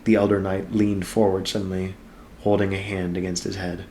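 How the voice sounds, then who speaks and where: Low-pitched man reading a fantasy story. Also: flat delivery, moderate pace, warm tone. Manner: doting, cooing to pet in asides. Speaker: amateur story reader. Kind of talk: reading a fantasy novel aloud. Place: apartment room. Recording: clear background room noise.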